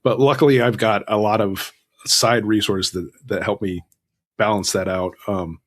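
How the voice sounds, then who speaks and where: A man with a fairly low voice speaks as a guest author in a relaxed interview. He tells things conversationally, with self-deprecating asides and little quoted exchanges with himself. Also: normal speed. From Southern California.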